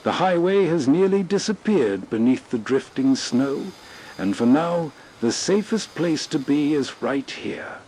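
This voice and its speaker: Guy doing slow moody scripted radio host narration, measured, soft bg ambience. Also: on the high side, lively intonation, a little hiss or hum, low-quality recording.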